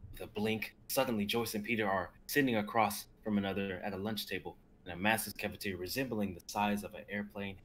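A man's voice: fairly low pitch, steady tone, quick pace, few pauses, fairly bright tone, slight background noise. A man in his twenties narrating a drama script, sometimes stumbling over the text, on home video-call audio. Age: 20s. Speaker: narrator reading stage directions.

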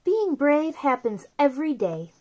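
A woman in her thirties speaking to young children as a story time host, with an expressive, simple delivery. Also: high voice, a little slow. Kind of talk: children's read-aloud and chat to young viewers.

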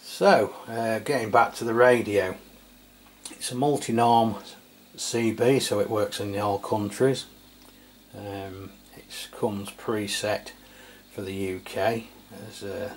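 A middle-aged man from England giving an unscripted, casual product review in a hesitant, matter-of-fact way. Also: lowish voice. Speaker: radio reviewer. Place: indoors at a tabletop. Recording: clear steady background noise, room ambience.

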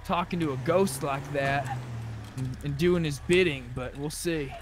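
A man improvising in character over game voice chat, blunt and quick to swear. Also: on the high side, music in the background. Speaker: online roleplay game player.

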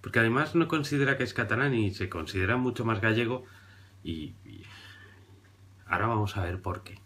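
A man in his thirties from Barcelona with a very deep voice, talking in a dry, sarcastic way. He hesitates and fills gaps with "mm" as he mocks the clips he plays. He is recorded on a webcam at his desk. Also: expressive, fairly quick, pushed and bright. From Barcelona, Spain. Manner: dry, ironic, hesitant with fillers. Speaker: video commentator. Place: indoor room before a printed backdrop.

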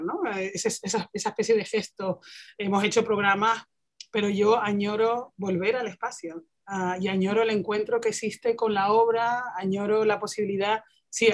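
Woman on a video call, searching for words. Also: mid-range voice. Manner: searching for words, many restarts and English terms. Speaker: panelist, museum director. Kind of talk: panel discussion on video call. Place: home room with bookshelves.

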